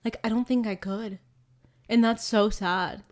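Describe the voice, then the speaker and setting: A woman's voice: mid-range voice, fairly even intonation, a little slow, a little mellow. A woman in her twenties co-hosting a podcast, chatting casually and saying "like" often. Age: 20s. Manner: chatty, fills speech with "like". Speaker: podcast co-host. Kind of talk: casual chat.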